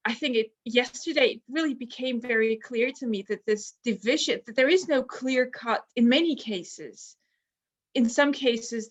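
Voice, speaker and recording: Earnest woman host, fairly high voice, thoughtful wrap-up remarks on a video call. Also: muffled recording. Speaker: series host. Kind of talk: casual panel talk.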